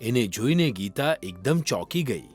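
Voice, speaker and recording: A man's voice: medium pitch, very animated, moderate pace. A man narrating a scripted children's fairy tale as a storyteller. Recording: music under the voice. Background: background music.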